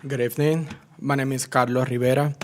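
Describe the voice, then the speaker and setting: A man's voice: mid-range voice, flat delivery, fairly quick. A man from Puerto Rico, a native Spanish speaker, giving a short speech to introduce himself. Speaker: nonprofit worker introducing himself.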